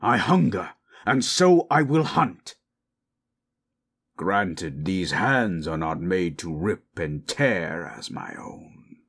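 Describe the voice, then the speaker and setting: A man voicing a proud, possessive forest monster in human shape, gravelly and husky, speaking in stilted, imperious phrases for an audio roleplay. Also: sits low, big pitch swings, fairly bright tone, full character voice. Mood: grumbling, irritated. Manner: slow, imperious, formal. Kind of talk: dramatic audio roleplay, stilted formal speech.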